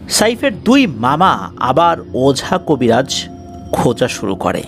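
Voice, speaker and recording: Male narrator, ghost story, dramatic delivery, fairly high pitch, lively swings in tone, music behind.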